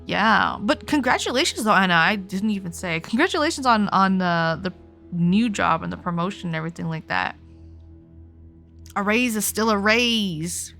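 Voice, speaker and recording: A woman's voice: medium pitch, animated, moderate pace, creaky, pushed and bright, faint music behind. A young woman livestreaming a game, chatting casually and reacting in an informal, giggly, sweary way.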